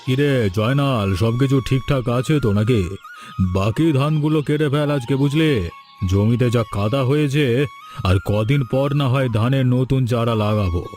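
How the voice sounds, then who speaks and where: A man's voice: medium pitch, moderate pace, darkish tone, faint music behind, natural voice. A man voicing a stern but generous village landlord and father in a cartoon folk tale. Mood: bossy.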